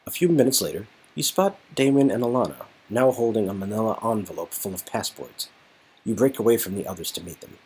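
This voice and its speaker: A man's voice: fairly low pitch, animated, brisk, darkish tone, breathy edge, rough recording. A man reading an interactive story game aloud as narrator, with casual joking asides.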